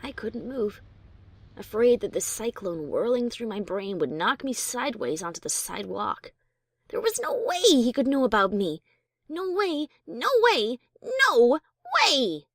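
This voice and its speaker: Adventurer pony narrator, played by a woman: female, lively varied pitch, wry bravado with nerves underneath, first-person storybook drama. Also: measured pace, dry recording, studio quality, full character voice. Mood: panicked. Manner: expressive, breezy bravado over nerves.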